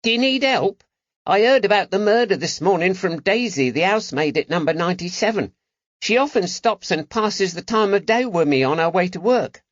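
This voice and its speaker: A man voicing a cheeky, eager young street urchin with a high-pitched voice and slangy talk, in an audiobook. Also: expressive, normal speed, no echo, lo-fi sound. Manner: colloquial street slang, bargaining.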